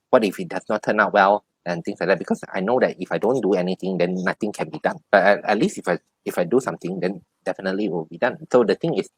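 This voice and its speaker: A deep-voiced man speaks as a tarot reader, offering guidance in a one-on-one session. He explains haltingly, circling back over his phrases, and the recording is dry and close. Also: husky, dry recording, rough recording. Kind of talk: spiritual advice in a one-on-one reading.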